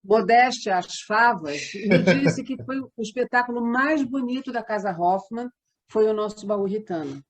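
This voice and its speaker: A woman's voice: on the high side, expressive, normal speed. A Brazilian woman talking casually as a theatre artist being interviewed.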